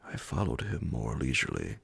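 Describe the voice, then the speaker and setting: A man reading classic horror fiction as an ASMR audiobook, in a hushed, measured, breathy and gravelly voice. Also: extremely low pitch, wildly swooping pitch. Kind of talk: ASMR audiobook scripted narration.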